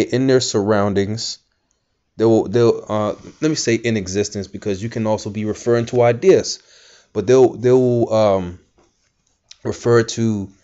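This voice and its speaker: A man's voice: on the low side, darkish tone, lo-fi sound. A man giving informal scripture teaching, rambling with many fillers and restarts. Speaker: Bible teacher.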